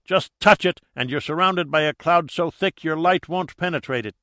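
Guy doing a blunt old-salt sea captain for an audiobook, pitched up, bright and punchy, talks colorful. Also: normal speed, dry recording, studio quality. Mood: grave warning. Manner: colloquial, salty, vivid storytelling. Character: hard-boned Irishman sea captain, hearty, blunt, seasoned diver. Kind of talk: audiobook character dialogue.

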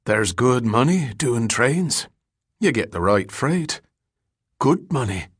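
A man's voice: on the low side, slightly rough, dry recording, clean studio sound, full character voice. A man voicing a ragged, dazed drifter in an audiobook story, bitter and rambling, with repetitive, slangy street talk.

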